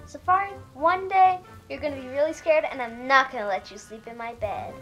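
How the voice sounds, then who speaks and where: A young adult woman acting out a family skit and bedtime story in an expressive, theatrical way. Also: high-pitched, fairly quick. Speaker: older sister, storyteller. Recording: roomy echo, faint music behind.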